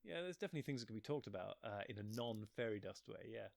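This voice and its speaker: A man's voice: lowish voice, lively intonation, fast talker, gravelly, no room sound. Self-deprecating man chatting, close dry sound. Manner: rambling, self-deprecating, often breaking off mid-thought. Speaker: interview guest; physicist and author. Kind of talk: casual chat.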